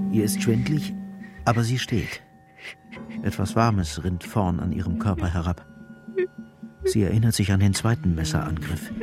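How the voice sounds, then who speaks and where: A man narrating a scripted crime drama in a measured, sober manner. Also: fairly low pitch. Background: music.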